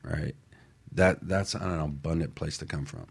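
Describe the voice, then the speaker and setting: A man with a deep, slightly rough voice speaks as a panelist on a talk show. He talks in a casual, earnest, motivational way and often checks that listeners follow him. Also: steady tone, a little slow.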